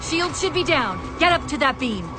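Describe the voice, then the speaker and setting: A woman's voice: high-pitched, very animated, normal speed. A woman playing an urgent, protective female military AI companion in a video game. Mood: focused. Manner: urgent, commanding. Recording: loud game music and vehicle sounds behind.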